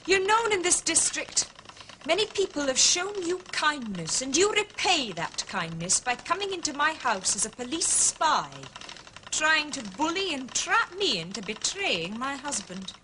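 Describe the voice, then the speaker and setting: An actress playing a proud, indignant wronged wife in a radio drama, with a clipped, theatrical upper-class English accent. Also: female voice, sits high, very animated, measured pace, background noise. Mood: wounded indignation.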